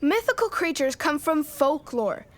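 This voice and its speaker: High, eager, bookish young boy. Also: sing-song, unhurried. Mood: sulky. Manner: eager, whiny when bored. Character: bookish, sarcastic young boy obsessed with mythology. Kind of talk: audio adventure drama acting.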